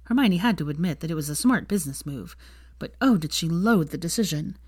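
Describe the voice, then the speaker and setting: A woman reading fan fiction aloud as expressive, scripted story narration. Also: low voice, a little fast, breathy edge, dry recording, studio quality.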